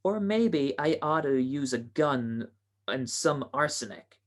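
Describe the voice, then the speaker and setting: Woman playing excitable, melodramatic young society girl. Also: rumbling low, takes it slowly, exaggerated voice. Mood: dramatic, scheming. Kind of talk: 1940s radio comedy acting.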